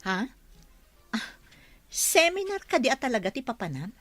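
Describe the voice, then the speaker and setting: A woman's voice: a little high, very animated, moderate pace, a bit bright, no room sound. A woman acting as a wary young wife in an audio drama, asking pointed, quizzing questions.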